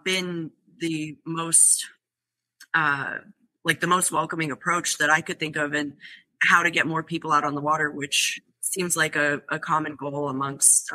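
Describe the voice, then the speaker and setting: Brief: young woman, club founder guest on a podcast, earnest, bright tone, lots of "um". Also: deep voice, low-quality recording. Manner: earnest, many fillers and restarts. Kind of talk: podcast interview answers.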